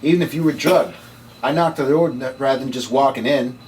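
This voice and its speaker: A man's voice: medium pitch, brisk, darkish tone, lightly acted. A man playing a sardonic, world-weary noir occult investigator with slangy delivery in a script table read. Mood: insistent. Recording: distant mic, noisy room.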